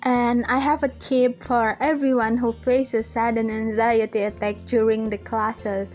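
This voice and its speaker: A young woman with an Indonesian accent giving a measured, rehearsed student presentation on a lo-fi recording. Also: fairly high pitch, little pitch movement, a little mellow, soft background music. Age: young adult. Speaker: student panelist. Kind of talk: prepared presentation. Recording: dull lo-fi audio.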